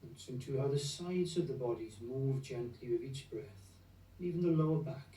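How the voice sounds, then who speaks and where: Mellow, soothing male meditation guide. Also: mid-range voice. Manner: gentle, unhurried, soothing, with long pauses. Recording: roomy echo, distant from the mic.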